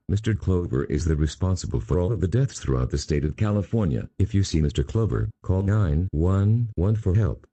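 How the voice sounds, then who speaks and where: Male emergency announcer, extremely deep and dark, formal and impersonal read. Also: husky, no room sound. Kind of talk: scripted emergency broadcast announcement.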